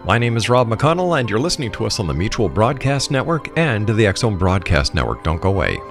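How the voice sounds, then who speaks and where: A man's voice: lowish voice, very animated, brisk, over music. A man hosting a radio interview in polished broadcaster phrasing. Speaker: radio show host.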